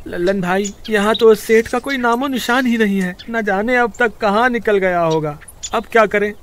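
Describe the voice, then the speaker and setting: Man acting a high-pitched scheming village crook. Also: moderate pace, fairly bright tone, slight background noise. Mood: worried. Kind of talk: Hindi cartoon voice acting.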